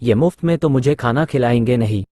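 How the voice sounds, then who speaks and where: A man's voice: mid-range voice, a little fast, mellow, slight background noise, close to an everyday voice. A man acting polite, simple villagers in a Hindi cartoon moral story. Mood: musing, wary.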